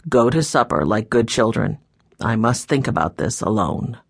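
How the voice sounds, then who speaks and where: A woman's voice: extremely low pitch, lively intonation, moderate pace, vocal fry, slightly rough, no room sound, studio quality, voice pushed down, full character voice. A woman voicing a kindly, troubled old grandfather in a children's audiobook, sounding tired, sad and subdued. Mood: firm, weary.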